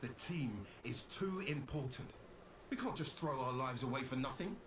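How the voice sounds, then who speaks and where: An actor playing a weary, dutiful, conflicted expedition officer recording a solemn journal in a video game. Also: male voice, mid-range voice, expressive, far from the mic, noisy background, close to an everyday voice. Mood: conflicted, grave. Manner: grave, solemn, deliberate.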